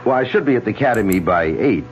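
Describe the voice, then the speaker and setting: A man playing a calm, measured surgeon. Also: lowish voice, slight background noise, natural voice. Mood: helpful. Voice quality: measured, explaining tone. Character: Philip, a calm male surgeon-scientist. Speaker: actor in a radio drama. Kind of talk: 1970s radio drama acting. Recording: dull lo-fi old radio broadcast.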